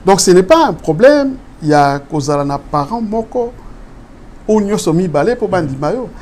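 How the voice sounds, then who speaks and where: A man's voice: high-pitched, very animated, normal speed, a little mellow, slight background noise. A man from Congo explaining constitutional law in an interview, mixing French and Lingala and asking rhetorical questions. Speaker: political guest.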